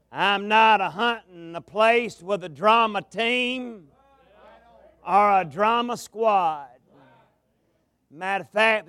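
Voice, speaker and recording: A man with a Southern US accent preaching a revival sermon in a folksy, drawn-out cadence, with some gravel in his voice, speaking into a pulpit mic in a large tabernacle. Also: sits high, bright, forceful tone, no room sound. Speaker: pastor, guest preacher. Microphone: amplified mic at a pulpit.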